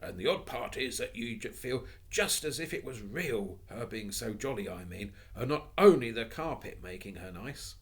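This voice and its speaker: A man's voice: medium pitch, animated, moderate pace, hardly any pauses, pitched above the performer's own voice, natural voice. A man voicing an eager, hot-headed young boy.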